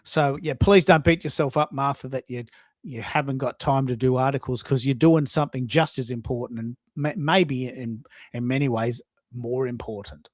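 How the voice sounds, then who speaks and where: A man's voice: mid-range voice, moderate pace, no room sound. Aussie guy hosting a chat, informal, restarts a lot, very dry close sound. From Australia. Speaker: host. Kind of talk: casual chat.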